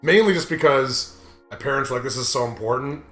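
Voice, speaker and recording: A man's voice: medium pitch, fairly quick, fairly bright tone. A young American man hosting a gaming video, chatting casually and rambling through anecdotes in a crude, joking way. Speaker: host of a gaming video series. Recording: roomy echo, music underneath.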